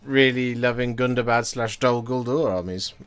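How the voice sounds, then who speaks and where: A man's voice: medium pitch, normal speed, clean tone. A man in his thirties chatting casually and candidly, rambling and correcting himself as he goes. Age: 30s. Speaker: hobby streamer. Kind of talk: casual chat while painting miniatures. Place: home painting desk.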